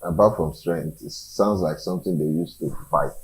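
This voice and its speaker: A man's voice: sits low, normal speed, a little mellow, rough recording. A man with a Nigerian accent co-hosting a conversational television interview. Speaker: co-host, interviewer.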